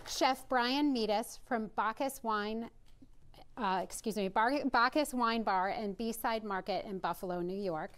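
A woman's voice: fairly high pitch, unhurried, a crowd in the background, background noise. A woman in her forties co-hosting a live TV cooking show, presenting from partly scripted notes. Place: TV studio kitchen.

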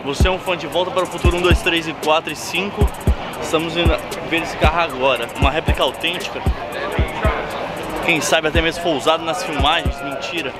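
A young man vlogging at an outdoor sneaker market, fast, joking and slangy, on a handheld camera. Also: a little high, steady tone. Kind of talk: casual vlog chat. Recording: loud music and crowd behind.

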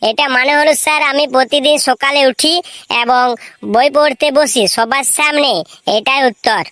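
A woman's voice: sits high, steady tone, normal speed, fairly bright tone, dry recording. A woman voicing a boastful, eager schoolboy in a comedy cartoon, with a rural West Bengal accent and a cocky, rambling way of talking. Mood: confident. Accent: rural West Bengal (Bankura). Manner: cocky, rambling, garbled pidgin English. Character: boastful, eager schoolboy mangling English answers. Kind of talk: comic cartoon voice acting.

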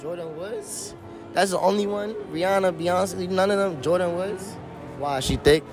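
A young man with a fairly high voice runs a casual street interview. He talks in slang and keeps teasing and probing. Music plays clearly behind him in a public setting. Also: normal speed. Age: young adult. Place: public spaces, shopping mall and open beach. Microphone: handheld phone held between speakers. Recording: music over outdoor and mall noise.

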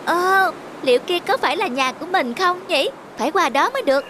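A woman voicing a small, forlorn snail in an animated fairy tale, innocent and wondering. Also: very high-pitched, brisk, noisy background. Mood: puzzled, forlorn. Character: small naive homeless snail, puzzled and forlorn. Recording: music under the voice.

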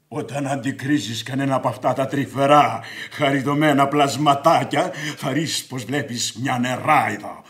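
An actor in a radio play playing a hot-tempered, sarcastic middle-aged gentleman creditor who declaims theatrically. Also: a little high.

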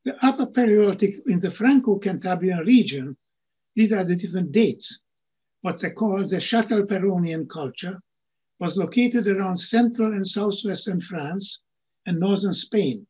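A man's voice: high-pitched, expressive, moderate pace, darkish tone, breathy edge. An older man giving a lecture from home over a video call, reading his slides and adding plain asides. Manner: reads slide text, adds plain asides. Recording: muffled lo-fi video call audio.

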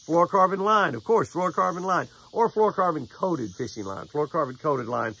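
Older man preaching with a gravelly voice. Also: fairly high pitch, animated, brisk, pushed and bright. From United States. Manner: preachy, repeats phrases for emphasis. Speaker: devotional host and fishing angler. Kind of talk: folksy devotional talk with fishing tip. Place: outdoor deck by a lake. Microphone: camera at close range.

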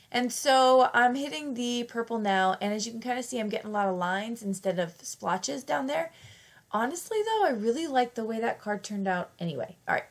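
A woman fighting off a cold, narrating a casual craft tutorial in a chatty, self-correcting way. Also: medium pitch. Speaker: craft channel host.

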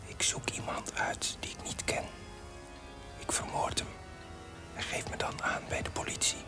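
An actor as tormented guilt-ridden soul, hushed breathy whisper, radio play monologue, music behind. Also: a little slow, natural voice. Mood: anguished, despairing. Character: anguished child of Nazis, tormented by inherited guilt.

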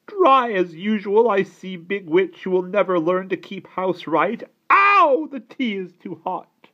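A man's voice: sits high, unhurried, lo-fi sound, pitched above the performer's own voice. A man voicing a bad-tempered old aunt who complains and bosses in a children's storybook. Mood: sour complaining. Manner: complaining, bossy, exclaiming. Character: shrill, scolding, bad-tempered old aunt, never satisfied. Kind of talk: children's storybook read-aloud.

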